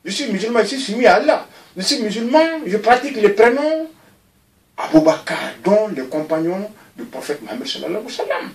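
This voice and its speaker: Animated man, rambling, voice swinging up and down. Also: high-pitched, brisk, echoey room, recorded from a distance. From Mali. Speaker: interviewee. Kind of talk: recorded video interview.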